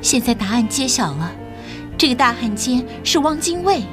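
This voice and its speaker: An actress playing an earnest, polite young schoolteacher in an audio drama, modest and respectful in manner. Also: female voice, fairly high pitch, big pitch swings, moderate pace, over music. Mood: troubled realization.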